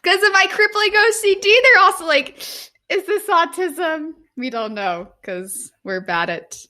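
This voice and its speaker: A woman co-hosting a podcast in casual chat, chiming in with quick, sweary comments. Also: very high-pitched, expressive, bright, forceful tone. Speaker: podcast co-host.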